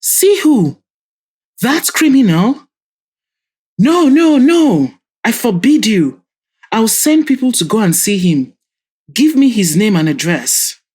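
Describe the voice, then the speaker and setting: A woman's voice: on the high side, very animated, takes it slowly, long pauses, darkish tone, breathy edge, pitched above the performer's own voice, heavily acted. A woman voicing a stubborn, irritable older father who grumbles sarcastically and flares into anger. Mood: furious.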